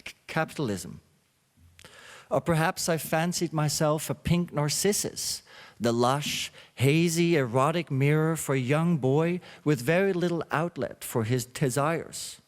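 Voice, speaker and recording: Host at a talk: man, a bit high-pitched, halting delivery, reverberant hall. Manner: halting, with frequent uh fillers. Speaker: symposium host. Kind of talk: read introductory speech.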